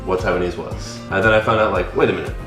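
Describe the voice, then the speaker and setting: A man in his thirties, chatting casually as a guest, relaxed and filler-heavy, with many "like"s. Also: sits low, fast talker. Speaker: guest teaching Taiwanese. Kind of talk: casual chat. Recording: echoey room with faint music.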